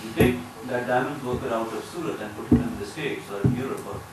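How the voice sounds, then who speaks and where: Indian male panelist in his thirties, measured and hesitant, mid-pitched, off-mic in an echoey hall. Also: far from the mic, heavy background noise. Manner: measured, hesitant with many fillers. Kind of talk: panel discussion remarks. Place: conference hall panel table. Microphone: table mic, far camera.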